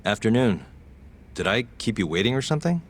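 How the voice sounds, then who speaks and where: A man's voice: lowish voice, big pitch swings, fairly quick. An actor playing an easygoing, streetwise male private detective in an informal way for a video game dub. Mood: relaxed, casual.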